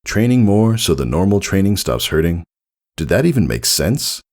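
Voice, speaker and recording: A man's voice: sits low, very animated, moderate pace, darkish tone. A man narrating a scripted story in the style of an audiobook reading.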